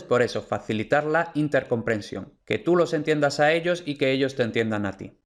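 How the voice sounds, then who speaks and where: Methodical male teacher. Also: medium pitch. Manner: clear, methodical, restates each point. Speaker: Spanish pronunciation teacher. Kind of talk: online course lecture over slides.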